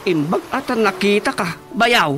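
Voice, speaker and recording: A chatty Ilocano brother-in-law who is out of work in a comic radio drama. He speaks in a fairly high voice that swings up and down. Music runs underneath. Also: expressive, moderate pace, sharp tone. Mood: chummy. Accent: Filipino, Ilocano.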